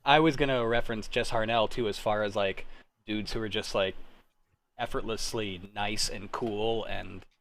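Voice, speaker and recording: A man's voice: lowish voice, fairly even intonation, normal speed, a bit bright. A man in his thirties hosting a casual group chat, talking in an informal, rambling way and often interrupting himself. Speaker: host.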